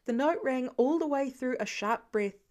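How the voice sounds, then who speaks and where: A woman's voice: high-pitched, moderate pace, a little mellow. A woman narrator reading a scripted piece of fiction as an audiobook.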